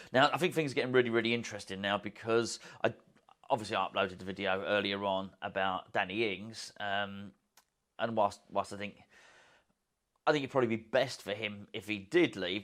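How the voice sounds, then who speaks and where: A man's voice: on the low side, a bit bright. A man vlogging in a casual, rambling way from a hotel room, with self-mocking asides. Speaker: football fan vlogger. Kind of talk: casual chat to camera.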